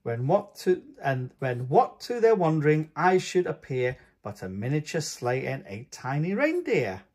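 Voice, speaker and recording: A man reading a picture book aloud for young children, with expressive, singsong storytelling. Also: fairly high pitch, measured pace. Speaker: host of a children's read-aloud channel.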